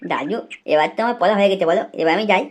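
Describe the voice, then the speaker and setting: A woman's voice: lowish voice, racing, natural voice. A woman voicing a cheeky, whiny young boy in a comic cartoon, grumbling and easily frightened. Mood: cocky, dismissive. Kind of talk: comic cartoon dubbing in colloquial Bengali.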